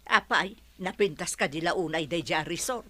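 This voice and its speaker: A woman's voice: fairly low pitch, moderate pace, a bit bright, no echo. A woman with an Ilocano accent playing an inquisitive, familiar friend of a resort worker in a comedy radio drama. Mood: curious. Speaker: voice actor.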